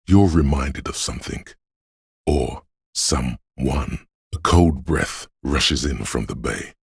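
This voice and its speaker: An actor voicing a brooding, poetic inner voice in a video game, speaking in a solemn, measured, gravelly and breathy way with hushed phrase endings. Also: male voice, very deep voice, no room sound, clean studio sound, full character voice. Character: brooding, poetic inner voice sensing a rain-swept city. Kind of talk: scripted literary game narration.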